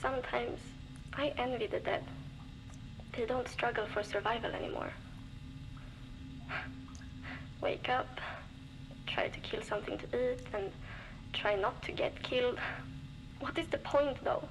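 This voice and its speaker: A woman's voice: on the high side, measured pace, long pauses. An actress playing a young, lonely, worn-down woman surviving alone in a frozen wasteland, speaking wearily and bluntly in a game's audio diary, with dry humour and frequent swearing. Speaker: game character recording audio diaries. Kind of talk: scripted voice acting as a diary log. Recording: noisy cassette tape recording.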